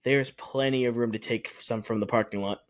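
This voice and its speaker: A podcast co-host getting over a cold, speaking bluntly and with strong opinions. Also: male voice, medium pitch, fairly even intonation, a little fast. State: recovering from a cold. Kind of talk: casual chat.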